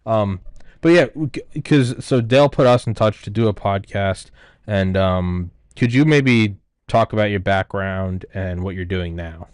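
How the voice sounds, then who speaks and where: A man's voice: fairly low pitch, measured pace, no echo, clean studio sound. A man hosting a podcast in casual chat, loose and self-deprecating, laughing easily. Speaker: podcast host.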